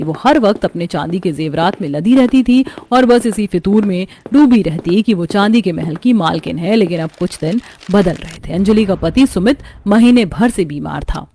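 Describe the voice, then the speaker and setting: A woman's voice: medium pitch, mellow, a little hiss or hum. A woman narrating a scripted horror story as its storyteller. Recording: music under the voice.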